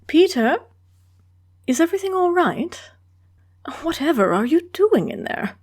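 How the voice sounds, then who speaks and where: A woman's voice: very high-pitched, big pitch swings, measured pace, darkish tone, heavily acted. A woman playing an affectionate elderly aunt in a comic dub, motherly, concerned and genteel.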